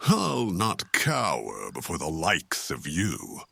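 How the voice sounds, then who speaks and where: Man playing a gravelly, proud, battered nobleman. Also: low-pitched, huge pitch swings, slow, a bit bright, dry recording, voice pushed down, heavily acted. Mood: defiant contempt. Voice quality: gravelly, husky. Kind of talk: dramatic audio drama acting.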